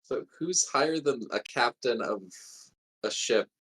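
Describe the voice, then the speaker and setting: A man's voice: medium pitch, lively intonation, unhurried, fairly bright tone, breathy edge. A man playing a tabletop game, chiming in with casual banter.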